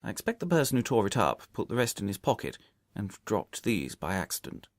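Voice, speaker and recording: Storybook detective, voiced by a male reader: calm gentleman, methodical, deeper register, dry studio sound. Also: dry recording. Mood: measured, analytical. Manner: measured, precise, explanatory.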